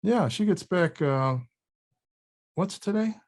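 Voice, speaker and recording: A man's voice: high-pitched, animated, slow pace, heavily acted. A man playing a sleepless older actor, wry and friendly, in a casual conversational table read. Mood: weary. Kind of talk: casual table read of a comedy-drama script.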